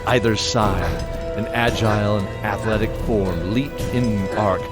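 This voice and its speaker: A man giving dramatic scripted narration of a fantasy tale, measured, pausing between short phrases. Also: on the low side. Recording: loud music under the voice.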